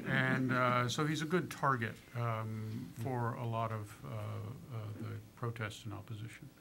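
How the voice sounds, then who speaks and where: A man speaking as a panelist, measured and hesitant, with many ums and uhs. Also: mid-range voice, gravelly, fairly bright tone. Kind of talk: panel discussion.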